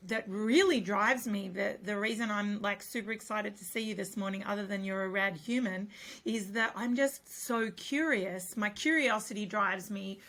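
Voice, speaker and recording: A woman host with a husky voice as she loses it, talking chattily with many fillers and restarts. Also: medium pitch, moderate pace, a bit bright. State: losing her voice. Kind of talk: casual conversation.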